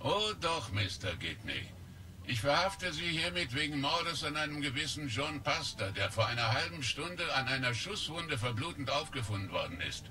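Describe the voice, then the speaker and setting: An actor playing a sly middle-aged police officer in a German radio play, mocking and then stern. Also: male voice, mid-range voice, sing-song, moderate pace, sharp tone, slight background noise.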